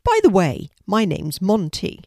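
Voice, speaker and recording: A woman's voice: mid-range voice, wildly swooping pitch, unhurried, dark tone, dry recording, clean studio sound. Woman voicing a cheeky talking corgi dog. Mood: friendly.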